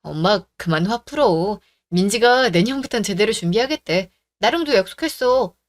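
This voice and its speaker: A woman's voice: medium pitch, expressive, normal speed, natural voice. A woman voicing a young husband who pleads with his mother and pushes his wife, talking in a defensive way. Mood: placating. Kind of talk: radio drama acting.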